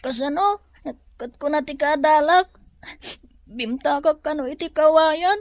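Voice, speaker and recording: A woman's voice: high-pitched, measured pace, dry recording. A woman acting an innocent, inquisitive young child in a radio drama, plaintive and wide-eyed. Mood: hurt, puzzled.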